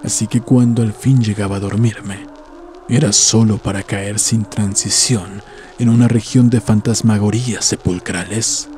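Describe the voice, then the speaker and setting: A man reading a scripted horror story as an audiobook narrator, in a measured, solemn, literary manner. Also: fairly low pitch, fairly even intonation. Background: background music.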